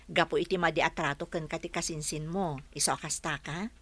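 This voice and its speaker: Kindly concerned madam in a radio drama, lowish voice, asking gently. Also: female voice, fairly even intonation, fairly quick. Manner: caring, inquiring.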